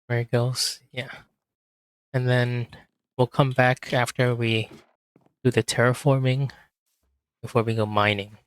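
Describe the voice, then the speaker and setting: Man, hoarse, relaxed gameplay commentary, slow self-talk, faint background hiss. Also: medium pitch. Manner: muttering to himself, slow and relaxed. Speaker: gaming video host.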